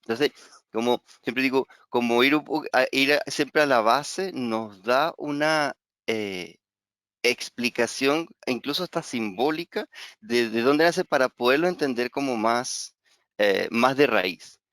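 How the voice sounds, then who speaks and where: Smooth-voiced Venezuelan man lecturing. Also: medium pitch, measured pace, a bit bright, dry recording, clean studio sound. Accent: Venezuelan Spanish. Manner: hesitant, many fillers and repeated words, frequent tag "¿sí?". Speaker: astrology teacher. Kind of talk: webinar lecture.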